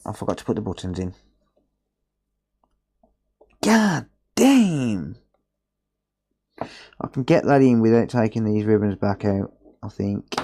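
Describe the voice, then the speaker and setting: A man's voice: mid-range voice, a little mellow, a little hiss or hum. A man chatting casually on a live stream while repairing a console, sarcastic and swearing often as he answers his chat.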